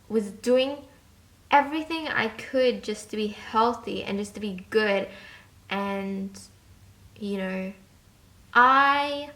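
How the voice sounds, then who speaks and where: Slow-talking candid young woman, swearing. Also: medium pitch, a bit bright, echoey room. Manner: rambling, candid, swears freely, trails off. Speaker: vlogger. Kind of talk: unscripted confessional vlog. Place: her bedroom.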